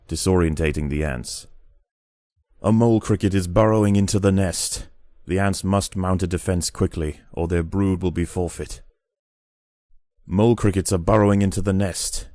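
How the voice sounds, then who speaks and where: An extremely low, dark male voice narrates in the manner of a nature documentary. He is measured and grave with a touch of drama, recorded very dry and close. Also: no echo. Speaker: game narrator.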